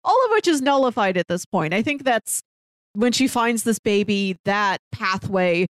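A woman's voice: medium pitch, animated, normal speed, no echo, clean studio sound. A woman co-hosting a podcast, talking through a TV story in a casual, conversational way. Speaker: podcast co-host. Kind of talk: casual TV discussion chat.

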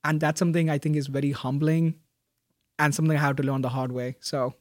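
A young man, a graduating student, answering interview questions in a thoughtful, soft-spoken way with frequent fillers and restarts. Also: fairly high pitch, level tone, fast talker, dry recording, studio quality. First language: Malayalam. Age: young adult.

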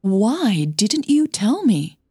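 A woman's voice: medium pitch, big pitch swings, takes it slowly, darkish tone, breathy edge, no echo, clean studio sound, pitched above the performer's own voice, full character voice. A woman playing an upset, angry young woman who blurts and demands answers in an audio drama. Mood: shaken, angry. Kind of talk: audio fiction dialogue.

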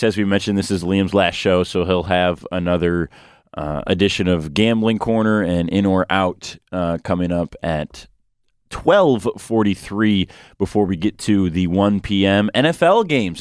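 A man's voice: low-pitched, moderate pace, clean tone, dry recording, studio quality. A young man hosting a sports radio show in a casual, conversational style. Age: young adult. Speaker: sports talk show host.

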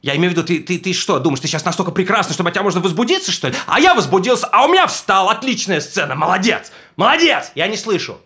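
Актёр играет ревнивого, жестокого мужа, который издевается над женой и то шипит, то срывается на крик. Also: male voice, sits high, sing-song, quick pace, hardly any pauses, exaggerated voice. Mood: taunting, raging. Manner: mocking, swings from menacing whispers to shouting. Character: jealous abusive husband tormenting his wife. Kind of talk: stage drama performance.

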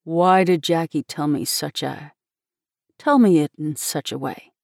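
Woman voicing a low, reserved young minister. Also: a little mellow, no echo, clean studio sound. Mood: reproachful. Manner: courteous, measured. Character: polite, reserved, gentle young male minister, melancholy in love.

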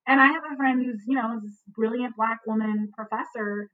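A woman's voice: a little high, steady tone, moderate pace, far from the mic. A woman in her forties, an adoptee and writer, speaking earnestly in a panel conversation and often adding "you know" and "right". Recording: tinny phone-like line.

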